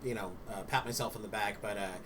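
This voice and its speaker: A man co-hosting a streamer talk show, telling animated stories in casual chat with many fillers and some swearing. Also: fairly low pitch, fast talker, a little hiss or hum.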